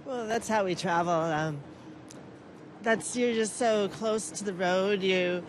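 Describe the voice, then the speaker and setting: A woman's voice: medium pitch, slow, low-quality recording. A woman in her forties, a guest answering interview questions earnestly and with enthusiasm. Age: 40s. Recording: clear traffic noise.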